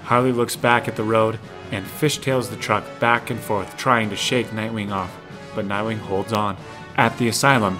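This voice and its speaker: A man narrating a scripted audio drama, describing the action as the narrator. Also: lowish voice. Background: music.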